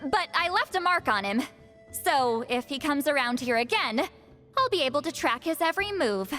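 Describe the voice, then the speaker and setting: A woman playing a proud, sharp-tongued high priestess who flusters easily, in a video game. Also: animated, normal speed, soft background music. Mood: confident. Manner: bossy, brash, theatrical. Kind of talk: video game cutscene voice acting.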